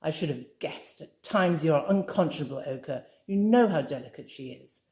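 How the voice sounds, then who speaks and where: A woman reading a story aloud, voicing a brisk woman who scolds the others. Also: deep voice, animated, a little mellow. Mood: reproachful. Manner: brisk, reproachful. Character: brisk, reproachful sister. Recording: roomy echoey room.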